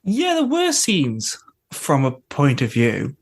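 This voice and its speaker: A man's voice: mid-range voice, huge pitch swings, slow, slightly rough. A man on a podcast panel, chatting casually and reasoning things out aloud with questions. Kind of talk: casual chat.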